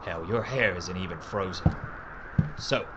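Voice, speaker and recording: An actor playing a wry Old West gunfighter in an audio drama, with a sardonic, deliberate manner. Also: male voice, low voice, muffled recording. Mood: dry, threatening. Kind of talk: Western audio drama acting. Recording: heavy background noise.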